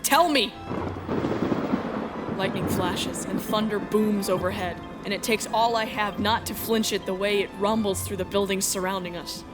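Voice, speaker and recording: A woman's voice: mid-range voice, normal speed, close to an everyday voice. A woman acting a young runaway heroine in a space adventure fan dub, anguished and defiant, with dramatic, emotional delivery. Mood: defiant, angry, then tense narration. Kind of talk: dramatic first-person narration of a visual novel. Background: music.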